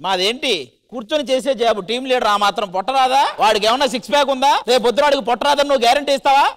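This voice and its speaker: A man's voice: extremely high pitch, rapid-fire. A man doing comic banter on a stage show, emphatic and mock-indignant. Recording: loud music behind. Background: loud music.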